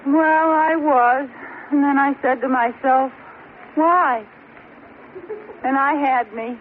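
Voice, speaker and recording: An actress playing a self-pitying, stubbornly sulking housewife in an old radio sitcom, mournful and deadpan. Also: female voice, high-pitched, slow pace. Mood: glum, deadpan. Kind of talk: 1940s-50s radio sitcom acting. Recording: very noisy, tinny old radio recording.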